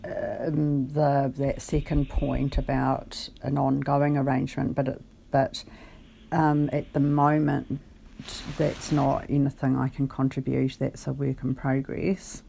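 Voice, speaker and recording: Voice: medium pitch, flat delivery, takes it slowly, creaky, mellow, a little hiss or hum, rough recording. A caller on a phone conversation, explaining their case in a hesitant, careful and articulate way. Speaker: caller, benefit client.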